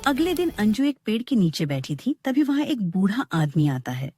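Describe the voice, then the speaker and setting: A woman's voice: mid-range voice, big pitch swings, normal speed, warm tone, soft background music. A woman narrating a scripted moral story as a storyteller.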